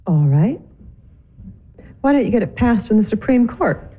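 An actress playing an indignant, impulsive young newlywed wife with dramatic, emphatic delivery in a theatrical comedy style. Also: female voice, on the high side, very animated, moderate pace, dark tone. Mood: angry, scornful.

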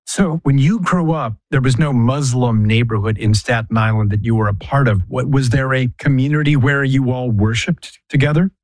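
Man, interviewer, calm direct questions, mid pitch, dry room. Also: normal speed, hardly any pauses, no room sound.

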